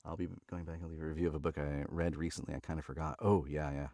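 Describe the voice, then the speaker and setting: Stuffy deep-voiced man reading aloud. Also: fairly even intonation, fast talker, warm tone, no echo, clean studio sound. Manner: casual and chatty, laughs often, corrects his own misreadings. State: stuffy nose from allergies. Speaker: audiobook narrator and livestream host. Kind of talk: live reading of a novel with casual chat.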